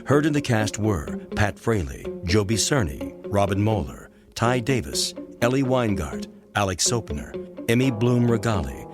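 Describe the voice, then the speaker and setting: A man's voice: lowish voice, very animated, moderate pace. A man reading scripted closing credits as a radio drama announcer. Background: clear instrumental music.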